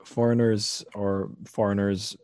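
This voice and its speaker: A man with a low voice hosts a literary discussion, recorded close and dry. He speaks casually, feeling his way through long, discursive thoughts. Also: little pitch movement, a little slow, a little mellow, dry recording. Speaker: podcast host.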